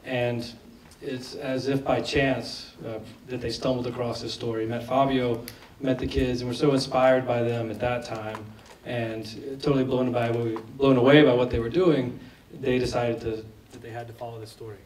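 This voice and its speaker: A hoarse man answers questions in a big, echoey hall, heard from some distance. He talks in a loose, conversational way and often restarts his sentences. A faint hiss of noise sits underneath. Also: mid-range voice, level tone, moderate pace, a little mellow. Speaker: film director at a Q&A. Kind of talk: post-screening Q&A answers. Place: large screening hall. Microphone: lost microphone, speaking unamplified.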